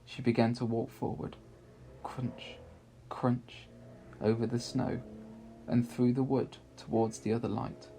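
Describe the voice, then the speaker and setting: A man narrating a children's story aloud in a measured, expressive way with deliberate pauses. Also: mid-range voice, a little hiss or hum, rough recording.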